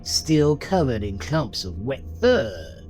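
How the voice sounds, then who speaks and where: A man narrates a dramatic story with a lively, wide-moving intonation. His voice sits at a middle pitch, with clear music playing behind it. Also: slow.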